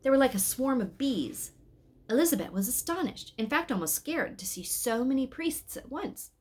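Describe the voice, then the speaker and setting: A woman reading a children's story aloud in an expressive style. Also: high voice, normal speed, breathy edge.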